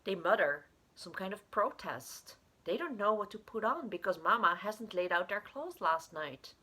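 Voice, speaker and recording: A woman reading her own literary short story aloud in an expressive way. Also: medium pitch, normal speed, breathy edge.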